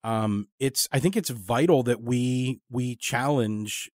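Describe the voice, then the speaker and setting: A man hosting a casual long-form interview, talking in a thoughtful, halting, discursive way. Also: mid-range voice, darkish tone, no echo, clean studio sound. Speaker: podcast interviewer.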